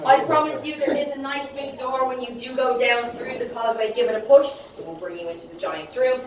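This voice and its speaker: A woman's voice: sits high, brisk, a little mellow. An Irish woman guiding a group through an indoor museum exhibit, chatty and often checking in with her listeners. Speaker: tour guide. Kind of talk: guided tour talk to a group. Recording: echoey room, voice from far off.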